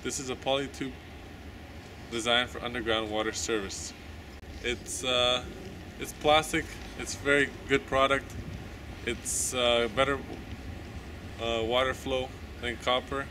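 A man describes the pipe and the job under way, plain and hesitant, with many pauses to search for words. His voice sits mid-range, and steady machine noise hums clearly behind him. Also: mid-range voice. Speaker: plumber. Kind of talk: describing work in progress.

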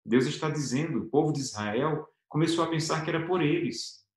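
A man's voice: a little high, a little fast. A man in his thirties from Brazil teaching a Bible lesson to camera, earnest and emphatic, stretching key words for stress. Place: home office with bookshelves, indoors. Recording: echoey room with soft background guitar.

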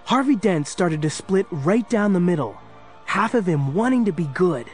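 A man's voice: sits high, lively intonation, moderate pace, faint music behind. A man narrating a scripted story in a dramatic storytelling style.

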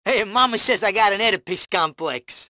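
A woman's voice: medium pitch, normal speed, sharp tone, dry recording, lightly acted. A woman voicing a hot-tempered young male mob thug in a video game, snarling street-tough threats and taunts. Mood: menacing. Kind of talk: video game combat barks.

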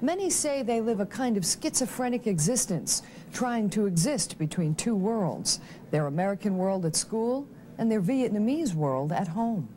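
A woman in her thirties, a reporter, narrating a documentary script and asking interview questions in a measured, clear way. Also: medium pitch, expressive, warm tone, a little hiss or hum.

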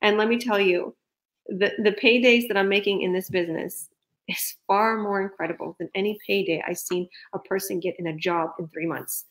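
A woman's voice: mid-range voice, fairly even intonation, moderate pace, rough recording. A young woman with a New Jersey and Toronto accent, hosting a video call interview with enthusiastic, emphatic talk and frequent laughs. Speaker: host and business coach. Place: small room indoors.

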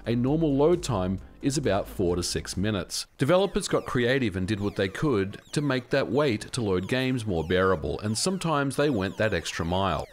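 Man, forties, lowish voice, scripted explainer narration, instructional, lively intonation. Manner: measured, instructional delivery. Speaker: video essay host. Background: background music.

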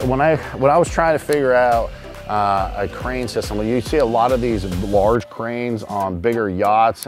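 A man's voice: medium pitch, darkish tone. A middle-aged man giving an informal, technical walkthrough of equipment as a host speaking outdoors. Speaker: host, charter fishing captain. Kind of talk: casual product walkthrough, explaining equipment. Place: outdoors on a boat roof at a marina.

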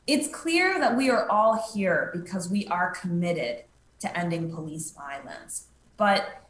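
A young woman giving a prepared instructional talk in a clear, structured, explanatory way over a video call. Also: medium pitch, a bit bright. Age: young adult. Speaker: advocacy training presenter. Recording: roomy, echoey webcam audio with noise.

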